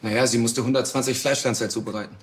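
Quick, curt journeyman butcher, an actor's role. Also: mid-range voice, fairly even intonation, close to an everyday voice. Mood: guarded. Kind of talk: naturalistic TV drama acting.

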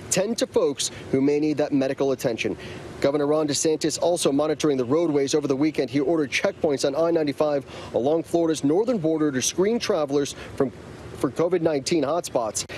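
Brisk male field reporter outdoors. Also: fairly high pitch, darkish tone, a little hiss or hum. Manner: brisk, clear newscaster delivery. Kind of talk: live news report. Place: outdoors by a city park at dusk. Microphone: clip-on mic, medium camera shot.

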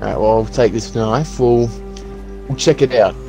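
A man playing a calm, practical zombie apocalypse survivor in an improvised game roleplay, talking in an encouraging, instructive way. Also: medium pitch, normal speed, music in the background, lightly acted. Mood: matter-of-fact.

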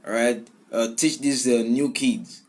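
A man's voice: mid-range voice, steady tone, unhurried, noisy background. Hesitant young man reacting to a song. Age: young adult. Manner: hesitant, filler-heavy, repeats lyrics back. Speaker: music reaction host. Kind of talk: casual song reaction commentary. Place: small room indoors. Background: song playing in the background.

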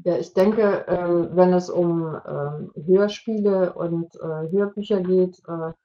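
A middle-aged woman answering as an expert in an online Q&A, explaining haltingly with pauses mid-sentence. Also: lowish voice, steady tone. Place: indoors, video call. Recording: dull, lo-fi video call audio.

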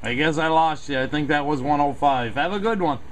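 A man with a fairly high voice talks casually as a CB operator, amid very loud hiss and static. He sounds like he is chatting on the radio. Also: a little fast. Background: CB radio noise.

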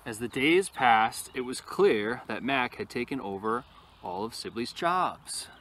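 Bright-voiced man reading a children's story outdoors. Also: medium pitch, measured pace. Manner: animated when reading, quieter and casual in asides. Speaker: storyteller reading a picture book. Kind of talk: expressive children's read-aloud with casual asides to an animal. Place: outdoors in an open field. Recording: noisy outdoor recording with ambient sound.

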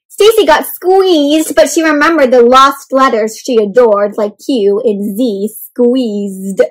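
A young woman reading a picture book aloud to children in an animated, interactive way, stopping often to ask her young listeners questions. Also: fairly high pitch, rough recording. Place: indoors in front of a leafy backdrop.

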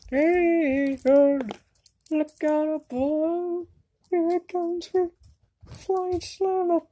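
A woman voicing an excitable, sarcastic anime girl in an amateur cartoon fandub. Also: very high voice, drawn-out words, heavily acted. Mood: excited, alarmed.

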